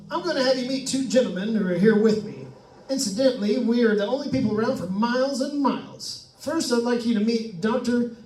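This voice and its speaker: A man's voice: sits high, a little mellow, lightly acted. A man playing a chatty, nervous radio ghost hunter in old-time radio drama style, with stammering announcer patter. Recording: roomy, distant-miked stage recording.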